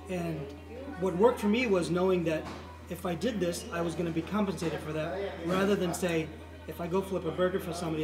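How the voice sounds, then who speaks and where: Echoey middle-aged man telling his story. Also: high voice. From Midwestern United States. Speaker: interview guest. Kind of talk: conversational personal storytelling. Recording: echoey, distant mic with music underneath.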